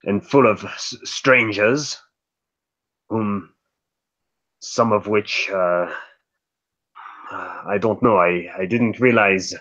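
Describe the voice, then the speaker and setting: A man playing a suspicious, stiffly polite gentleman guest in a mystery roleplay, halting and deliberate, on a dull microphone. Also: fairly low pitch, heavily acted. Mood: wary, uneasy. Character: wary, formal gentleman guest, suspicious and measured. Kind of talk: improvised roleplay dialogue.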